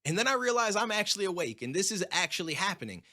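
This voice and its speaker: A man narrates a scripted commentary piece and sounds bemused and incredulous. His voice sits high for a man and comes across bright and sharp. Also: very animated, fast talker.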